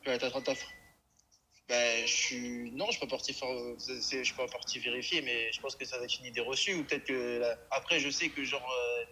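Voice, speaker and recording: A man phoning in to a live stream, talking in a halting, stammering, casual way. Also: medium pitch, fairly even intonation, bright, forceful tone, slight background noise, poor audio. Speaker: caller.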